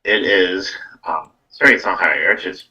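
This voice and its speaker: A man with a deep voice and a bright, forceful edge speaks a little away from the microphone. He hosts a casual live tarot stream, rambling and repeating himself as he goes. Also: normal speed, muffled recording. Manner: rambling, repeats words and tags "though" onto phrases. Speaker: psychic medium host giving live card readings. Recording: distant from the microphone.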